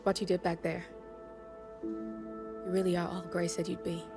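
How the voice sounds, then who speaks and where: An actress playing a tough, subdued accomplice in a video game cutscene, informal and understated in delivery, over loud music. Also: female voice, lowish voice, flat delivery, measured pace. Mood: subdued, wry.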